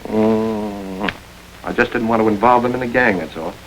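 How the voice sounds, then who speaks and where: An actor playing a calm, self-satisfied male gang boss in a 1930s crime film. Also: fairly low pitch, normal speed, noisy background, lightly acted. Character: smooth-talking male gang boss planning a heist.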